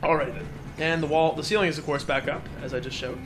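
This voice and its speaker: A man's voice: a little high, fairly even intonation, fast. A man doing casual, joking game commentary as the host of a let's play. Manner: joking, bantering. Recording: game music behind the voice. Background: video game music.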